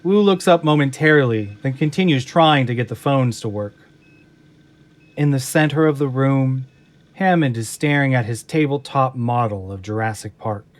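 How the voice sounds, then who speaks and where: A man narrating a scripted audio drama in a measured, descriptive manner. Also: mid-range voice.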